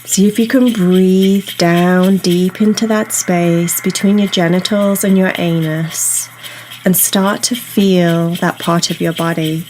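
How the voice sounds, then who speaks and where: A woman guiding a meditation in a soothing, unhurried, instructional way, leaving pauses between phrases. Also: lowish voice, steady tone, slight background noise, rough recording. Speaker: meditation guide. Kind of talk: guided meditation narration. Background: soft ambient meditation music.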